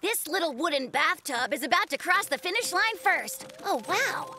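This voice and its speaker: A woman's voice: extremely high pitch, big pitch swings, normal speed, over music, full character voice. A woman voicing an earnest, slightly fussy young polar bear boy in a children's cartoon, precise in manner. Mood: curious, pleased.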